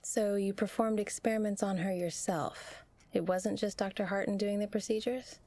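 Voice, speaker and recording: Woman as a gentle, measured female interviewer. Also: mid-range voice, steady tone, no room sound, lightly acted. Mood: probing. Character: calm, reassuring female interviewer questioning a traumatized survivor. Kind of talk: audio drama acting.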